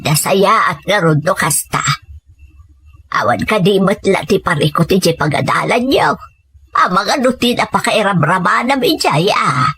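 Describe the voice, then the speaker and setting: A woman's voice: medium pitch, lively intonation, a little slow, fairly bright tone, husky, exaggerated voice. A woman playing a caring, sorrowful elderly grandmother in an Ilocano-accented audio drama. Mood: gently concerned.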